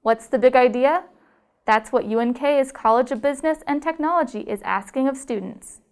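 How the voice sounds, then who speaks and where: A woman's voice: sits high, moderate pace, a little mellow, smooth. A young woman reading scripted news as a student reporter. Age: young adult.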